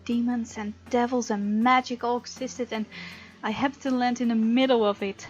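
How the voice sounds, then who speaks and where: A woman's voice: on the high side, fairly even intonation, normal speed, dull audio. A woman let's-play host reading visual novel narration aloud expressively, with informal asides. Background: faint game music.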